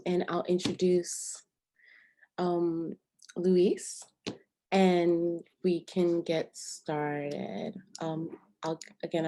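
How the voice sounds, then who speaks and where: A woman hosting a video-call meeting, speaking in a relaxed way with many pauses and "um"s. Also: on the low side, slight background noise. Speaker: host, board member and nanny agency owner.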